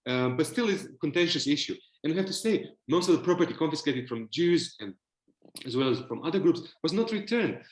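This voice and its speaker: A man's voice: on the high side, lively intonation, brisk, reverberant, rough recording. A man from Romania with a Romanian accent, speaking as a panelist in a virtual panel discussion, thoughtful and hesitant with many fillers and restarts. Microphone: video call.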